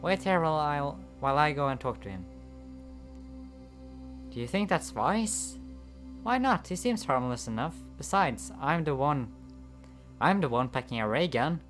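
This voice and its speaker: A man's voice: on the high side, expressive, a little slow, long pauses, fairly bright tone, soft background music, voice pushed up. A man voicing a cocky young man with a ray gun, casual and self-assured, in a comic read-aloud.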